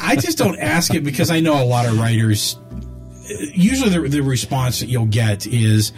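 A man with a mid-pitched voice speaks as a podcast co-host in relaxed discussion. His delivery is conversational and reflective, and music plays faintly behind him. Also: moderate pace.

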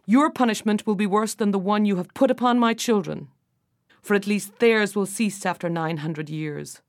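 Woman doing an angry old-time king, loud, commanding, cursing storybook ruler. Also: on the high side, normal speed, vocal fry. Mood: wrathful. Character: grieving, wrathful ancient king.

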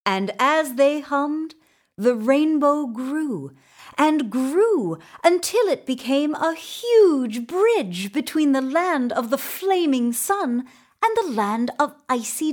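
A woman reading a children's story in an expressive, deliberate way with dramatic pauses. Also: sits high. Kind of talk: scripted children's story narration.

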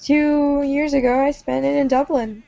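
A woman's voice: high voice, level tone, normal speed, creaky, warm tone, dull audio, soft background music. A young woman guest host on a comedy podcast, hesitant and laughing things off as she tells stories. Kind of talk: casual chat.

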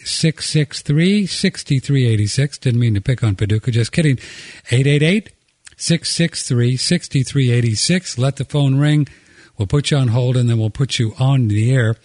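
A man's voice: medium pitch, a little mellow, dry recording, clean studio sound. A man hosting a radio talk show, chatty and joking as he gives out phone numbers and introduces his co-host. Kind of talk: casual radio chat. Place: radio studio.

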